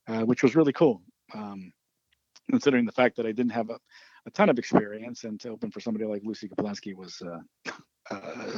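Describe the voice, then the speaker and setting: A man's voice: on the low side, a little mellow, slightly rough, dry recording, highs cut off. A man, a singer-songwriter guest, chatting casually in an interview, often stammering and restarting. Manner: hesitant, stammers and laughs often.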